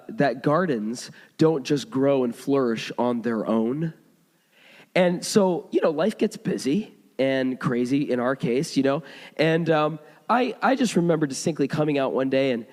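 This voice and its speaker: A hoarse man preaching a sermon, telling stories in a conversational way with frequent restarts. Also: medium pitch, darkish tone. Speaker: pastor. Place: church sanctuary. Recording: long hall reverb.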